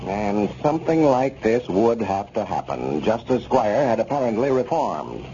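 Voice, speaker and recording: A man's voice: on the low side, sing-song, unhurried, mellow. An American man delivering scripted announcements and a sponsor message as a 1930s radio announcer. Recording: muffled, noisy 1930s radio recording.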